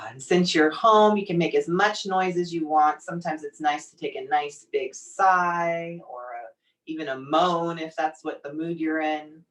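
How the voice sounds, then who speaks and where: A woman leading a guided meditation in a soothing, gently encouraging, unhurried manner. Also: sits low, fairly even intonation. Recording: distant microphone pickup.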